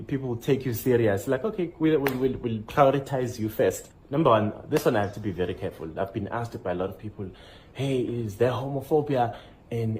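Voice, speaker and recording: A man from South Africa with a South African accent, chatting casually in a travel vlog and excitedly announcing each numbered point. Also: a little hiss or hum. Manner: animated, jumps up in pitch to announce list items. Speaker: vlogger.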